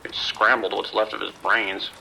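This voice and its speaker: A man playing a gruff, exasperated local on a call in an audio drama, blunt and grumbling. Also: on the low side, little pitch movement, normal speed, pushed and bright, slight background noise, heavily acted, rough recording. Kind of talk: audio drama phone dialogue.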